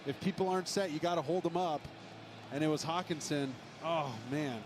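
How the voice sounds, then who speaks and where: A man's voice: fairly high pitch, moderate pace. A man giving emphatic, explanatory color analysis on a live football broadcast. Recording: TV game broadcast with loud stadium noise.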